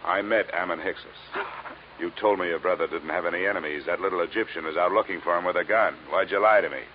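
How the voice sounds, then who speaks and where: An actor playing a tough, sardonic American insurance investigator, wry and blunt, in a vintage radio detective drama. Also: male voice, low-pitched, quick pace, lightly acted. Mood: bitter, blunt. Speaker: lead detective and narrator. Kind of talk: 1950s radio detective acting. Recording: muffled, hissy old radio recording.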